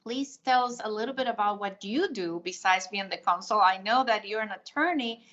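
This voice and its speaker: A woman's voice: medium pitch, normal speed, a bit bright. A woman hosting a TV interview, asking questions in an enthusiastic, affirming way. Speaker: interview host. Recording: dull lo-fi sound.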